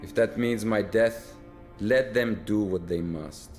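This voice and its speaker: A haunted Soviet lieutenant. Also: male voice, fairly low pitch, slow pace, soft background music. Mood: somber. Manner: grave, reflective storytelling. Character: weary, guilt-haunted male Soviet lieutenant. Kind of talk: video game cutscene voice acting. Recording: voice over loud orchestral score.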